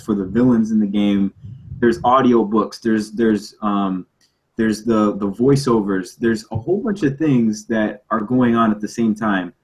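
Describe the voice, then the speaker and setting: A man's voice: lowish voice, rough recording. A man on a casual podcast panel, arguing his point emphatically and repeating himself to press it. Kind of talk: casual debate.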